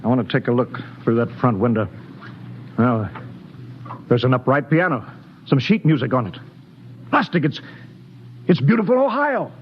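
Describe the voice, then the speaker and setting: An actor playing a stern, logical spaceship captain in a 1950s radio drama, with a clipped, commanding delivery. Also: male voice, medium pitch, animated, natural voice. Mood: wondering. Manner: clipped, commanding, halting when baffled. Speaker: ship captain. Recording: dull 1950s radio broadcast, faint hiss.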